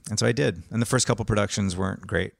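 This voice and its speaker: A man with a very deep, gravelly voice tells his story at length in a relaxed conversation. He sounds easygoing and self-aware. The recording is close and dry. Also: racing, no echo. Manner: long-winded, self-aware, laid-back storytelling. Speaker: podcast host and photographer. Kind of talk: casual conversation.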